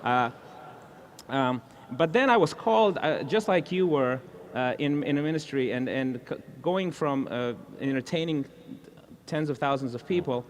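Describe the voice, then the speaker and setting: A man's voice: medium pitch, slight background noise. Friendly guy asking questions, stops and restarts a lot, medium voice. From Russia. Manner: friendly, halting, with many restarts. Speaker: interviewer, evangelist. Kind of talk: conversational interview.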